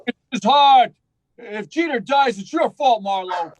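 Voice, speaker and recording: A man playing a loud, hot-tempered young criminal, blustering and defiant, in 1940s radio style. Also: very high-pitched, expressive, unhurried, no echo, rough recording. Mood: panicked. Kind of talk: 1940s radio drama acting.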